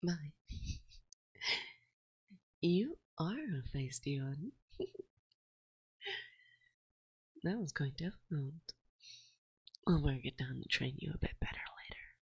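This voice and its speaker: A woman playing a dominant, teasing and affectionate forest witch in an ASMR roleplay, speaking in a hushed, breathy voice that often drops to a whisper, close to the microphone. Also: low voice, expressive, no room sound, muffled recording, full character voice. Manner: slow, soothing, teasing, gently dominant. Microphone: close binaural microphone.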